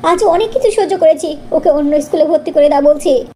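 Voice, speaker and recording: A woman's voice: high voice, fairly quick, warm tone, a little hiss or hum, close to an everyday voice. A woman voicing a vain, spiteful young schoolgirl who scolds haughtily in a cartoon story. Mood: whiny complaint.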